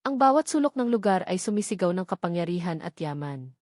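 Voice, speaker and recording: A woman's voice: fairly low pitch, very animated, brisk, darkish tone, no room sound, clean studio sound. A woman reading scripted dramatic story narration.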